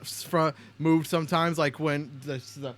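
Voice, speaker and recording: A man with a voice a little higher than most hosts a reaction chat. He talks casually and bluntly, with plenty of swearing. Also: fairly even intonation, normal speed, fairly bright tone. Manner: blunt, heavily profane. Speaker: host of a reaction video.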